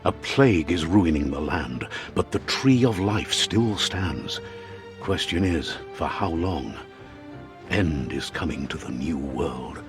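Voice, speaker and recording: Deep, slow, solemn storybook narrator. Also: male voice, expressive, over music, exaggerated voice. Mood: solemn, foreboding. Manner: measured, theatrical, portentous. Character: storybook male narrator of a dying animal world, wry, solemn. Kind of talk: scripted dramatic storybook narration for a video game.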